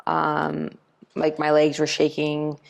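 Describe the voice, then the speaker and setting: A young woman with a notably low voice talks through her boxing career in a casual interview. Portuguese was her first language, and she tells stories loosely, full of "like" and little quoted exchanges. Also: slow pace, vocal fry. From Canada. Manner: relaxed storytelling, many "like" fillers, acts out quoted dialogue. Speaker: professional boxer, guest.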